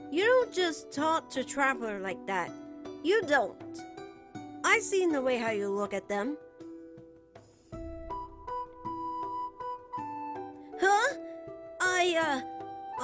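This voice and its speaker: Woman voicing a high, playful, teasing boy bard. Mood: protective, firm. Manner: teasing, giggly, drawn-out phrases. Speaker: voice actor. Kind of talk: ASMR character roleplay.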